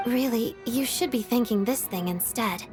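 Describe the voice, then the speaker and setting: Actress as young game heroine, caring and composed, higher voice, music clearly behind her. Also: normal speed, natural voice. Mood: matter-of-fact. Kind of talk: video game voice acting.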